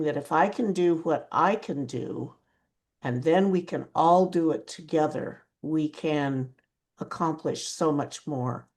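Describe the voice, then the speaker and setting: A woman participant in a church workshop, sharing her thoughts slowly and thoughtfully. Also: sits low, a little mellow. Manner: slow, thoughtful. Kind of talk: reflective sharing.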